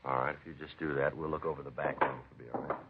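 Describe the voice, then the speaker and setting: Deadpan low-voiced radio police sergeant. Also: male voice, fast, background noise. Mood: businesslike. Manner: clipped, deadpan, matter-of-fact. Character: terse, businesslike male police sergeant narrating his case. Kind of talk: 1950s radio crime drama acting and narration. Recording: muffled vintage radio recording with music.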